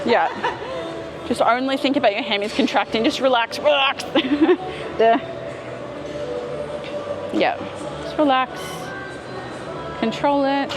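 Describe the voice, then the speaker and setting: A woman's voice: high voice, steady tone, slow pace, pauses often, music in the background. A woman fitness coach explaining and cueing a workout as she hosts a gym vlog. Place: indoor gym.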